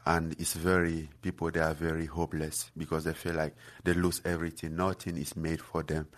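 Deep voiced cameroonian guy, lots of uh and like, earnest chat. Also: little pitch movement. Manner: halting, many fillers and restarts, earnest. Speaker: guest fighter. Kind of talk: casual interview chat.

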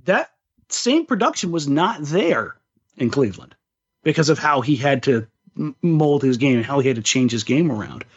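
A man's voice: medium pitch, big pitch swings, moderate pace, slightly rough. A man co-hosting a sports podcast, chatting casually about basketball with slangy, emphatic phrasing. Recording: slightly dull, lo-fi voice recording.

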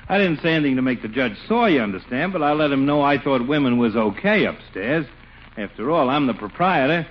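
An actor playing a cocky, stubborn small-town barber, wisecracking in folksy slang in old radio comedy style. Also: male voice, medium pitch, lively intonation, fairly quick, lightly acted. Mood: chummy, teasing. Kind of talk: 1940s-50s radio comedy acting. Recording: dull, faintly noisy old radio recording.

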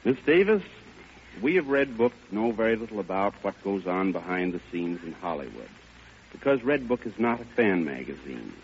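A man's voice: on the low side, big pitch swings, moderate pace, a little hiss or hum. A man, presenting an award in a stiff, formal prepared speech on vintage radio. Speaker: award presenter, magazine editor. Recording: dull vintage radio broadcast.

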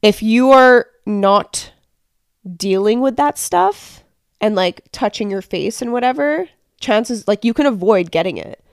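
A woman's voice: fairly high pitch, expressive, measured pace, clean tone, no echo, studio quality. A young woman co-hosting a podcast, congested from a cold, chatting casually and rambling with many fillers. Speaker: podcast co-host. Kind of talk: casual chat.

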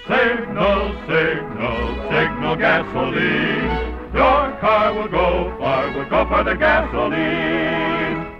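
A woman's voice: low voice, big pitch swings, slow pace. A woman singing an advertising jingle in old-time radio style. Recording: dull vintage radio recording, loud music.

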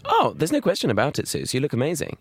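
A man's voice: a little high, huge pitch swings, fast, husky, dry recording, studio quality. A man co-hosting a podcast, full of teasing, wry banter. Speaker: podcast co-host. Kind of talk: casual comedic chat.

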